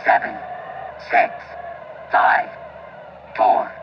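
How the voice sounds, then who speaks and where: A man playing a formal, unemotional robotic flight engineer and bodyguard in a radio play, with a robot filter on the voice. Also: very slow. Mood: calm, urgent advice. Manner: formal, precise, unemotional machine-like phrasing. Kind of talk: audio drama acting. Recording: distant, muffled, very noisy with vehicle rumble.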